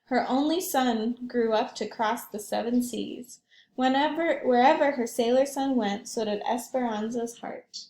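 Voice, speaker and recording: A woman voicing a gentle, loving storybook father who tells family history in a measured, tender way. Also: on the high side, reverberant. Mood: fond, storytelling.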